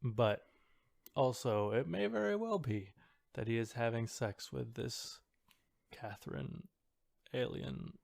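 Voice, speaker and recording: A woman co-hosting a podcast, chatting casually in a loose, halting, conversational way. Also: a bass voice, lively intonation, vocal fry, a little mellow, no room sound, studio quality. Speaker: podcast co-host. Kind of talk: casual chat.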